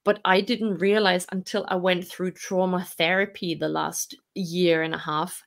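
A woman in her forties from Austria, a podcast guest telling her story candidly, reflective and laughing softly between hard memories. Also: on the low side, level tone, unhurried, vocal fry.

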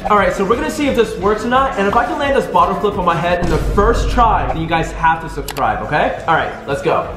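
A young American man talks to camera as an excited vlog host. His voice sits high and moves around a lot. He is casual and slangy, in a roomy space with music behind him. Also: fast. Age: young adult. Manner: animated, exclamatory, slangy. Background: background music.

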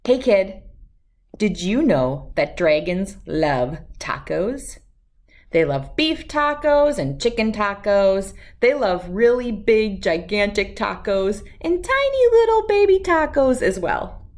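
A woman in her thirties reading a children's storybook aloud with expressive, emphatic delivery and dramatic pauses. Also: mid-range voice. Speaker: read-aloud host.

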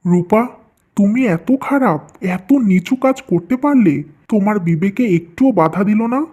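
A man's voice: high-pitched, lively intonation, a little slow, dark tone. A man playing stern male figures of a village family cartoon, firm and melodramatic, in dull lo-fi audio. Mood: angry, reproachful. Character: stern husband and demanding merchant, cartoon men.

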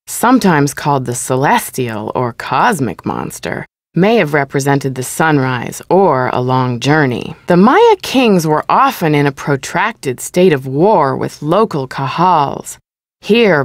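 A woman playing a calm museum audio guide, giving formal, measured educational narration. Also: deep voice, dry recording, studio quality, exaggerated voice.

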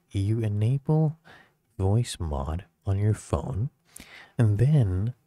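Male tutorial host, casual delivery, very dark relaxed tone, dry close recording. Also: on the low side, dry recording.

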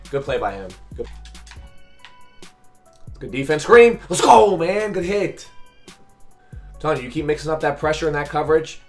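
A man in his twenties with a raspy, hoarse voice, giving live gameplay commentary as a coach in short, repeated, slangy phrases. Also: a little high, animated, normal speed, pauses often, soft background music. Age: 20s. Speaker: gaming video host and coach. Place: small bedroom, indoors.

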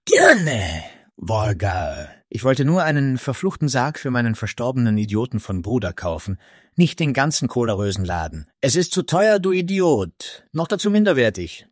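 A man acts out a cantankerous old man from a fantasy tale, grumbling irritably. The pitch jumps up and down with great liveliness, and the sound is dry and close. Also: big pitch swings, normal speed, no echo. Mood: irritated, scornful. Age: older. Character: haggard, grumpy old male customer, cursing and grumbling.

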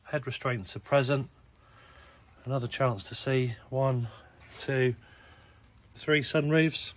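A man with a croaky, hoarse voice and a British accent, casually talking through a car's features while filming in an indoor showroom. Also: medium pitch, slow. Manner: relaxed, chatty listing of features. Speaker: car salesman. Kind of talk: walkaround car sales video. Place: indoor car showroom with tiled floor.

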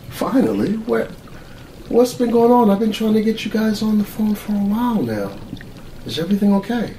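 An earnest middle-aged military mad scientist acted by a man in an ASMR roleplay. He rambles and mutters at an unhurried, slow pace, repeating himself and easily flustered. The sound is distant and echoey. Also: sits high, darkish tone. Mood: agitated, relieved. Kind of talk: ASMR roleplay, improvised monologue. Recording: roomy, distant mic with clear noise.

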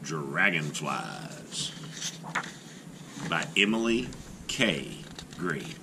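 Man slowly reading a kids' book. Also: a bass voice, big pitch swings, gravelly, noisy background. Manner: slow, careful reading with rising emphasis. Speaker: art teacher reading aloud. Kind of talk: children's picture book read-aloud. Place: indoors at a table, camera over the book.

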